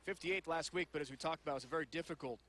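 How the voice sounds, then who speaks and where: A man doing play-by-play on a televised bowling match, brisk and upbeat, over music and crowd noise. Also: a little high, bright, forceful tone. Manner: brisk, upbeat promo delivery. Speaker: play-by-play announcer. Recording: broadcast mix with music and crowd.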